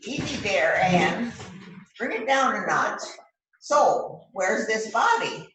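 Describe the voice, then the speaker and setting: Woman acting slow, echoey brash detective. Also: medium pitch, big pitch swings. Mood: brisk authority. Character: brash homicide detective taking charge of a crime scene. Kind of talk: amateur radio-style readers theater. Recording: roomy echo, distant mic, background noise.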